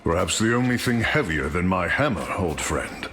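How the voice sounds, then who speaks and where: An actor voicing a boisterous, proud Norse thunder god with grand archaic phrasing for a video game. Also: male voice, sits low, animated, moderate pace, background noise. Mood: grave, lofty. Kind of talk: video game hero voice lines. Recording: long reverb, music behind.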